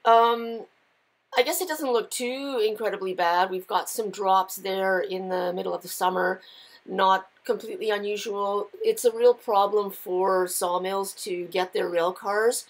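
A woman's voice: mid-range voice, unhurried. A middle-aged woman giving informal market commentary in a conversational, explanatory way. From Canada. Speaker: lumber market analyst and host. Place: small indoor office with shelves of files.

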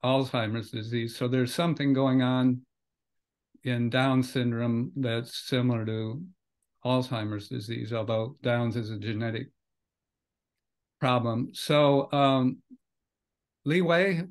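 A man's voice: mid-range voice, fairly even intonation, takes it slowly, pauses often. An older man hosting a podcast over a video call from a home study, speaking conversationally with loose phrasing and hedges. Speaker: podcast host. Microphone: video call webcam.

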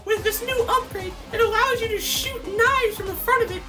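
A man's voice: very high voice, a little fast, music in the background. A man voicing an eager young cartoon fox inventor who explains things with cheerful menace in a comedic fandub. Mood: eager, helpful.

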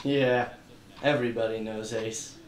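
A man's voice: medium pitch, steady tone, unhurried, echoey room. A man narrating and acting as a wisecracking detective in a church radio play. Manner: wisecracking, hard-boiled narration.

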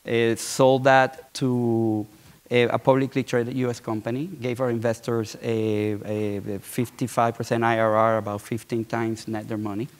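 A man's voice: mid-range voice, little pitch movement, moderate pace. A man in his forties from Puerto Rico, speaking as a guest on a conference stage, talking conversationally with many restarts and repeated words. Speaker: guest entrepreneur. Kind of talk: conference fireside chat. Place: large auditorium stage.

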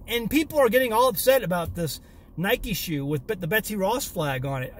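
Casting: man in his thirties, homestead vlog host, fairly high voice, emphatic and repeating himself for emphasis, selfie from a parked car with faint background hum. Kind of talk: casual selfie vlog rant. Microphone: phone or camera at arm's length.

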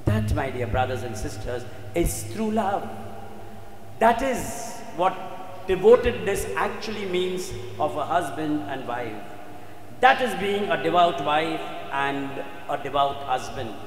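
Slow, echoing priest preaching solemnly. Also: male voice, fairly high pitch, animated. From India. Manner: solemn, emphatic, dramatic delivery with repeated phrases and pauses. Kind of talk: sermon. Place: inside a church. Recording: echoey church hall, faint background noise.

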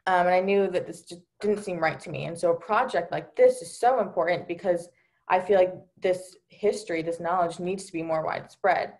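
Young woman panelist, slightly low voice, sincere storytelling over a video call. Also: fairly quick, a little mellow. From Delaware, USA. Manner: earnest, many fillers like um and you know. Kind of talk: personal account on a virtual panel.

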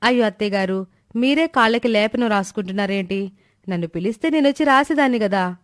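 A woman's voice: medium pitch, fairly quick, no room sound, studio quality, lightly acted. A woman voicing a timid, respectful young wife in a moral story, anxious and coaxing in manner. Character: timid, dutiful young wife and daughter-in-law. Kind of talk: animated moral story dialogue.

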